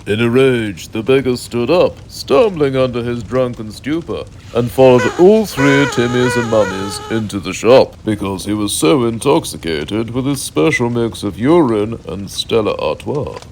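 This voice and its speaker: British storytelling man with very lively intonation. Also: medium pitch, a little slow, few pauses, a little hiss or hum. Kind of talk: mock bedtime story narration.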